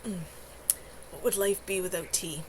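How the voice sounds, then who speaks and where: A woman's voice: fairly low pitch, fairly even intonation, normal speed, heavy background noise. A woman reading her own novel aloud on a livestream from home, in an unpolished amateur delivery. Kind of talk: live novel reading with casual asides.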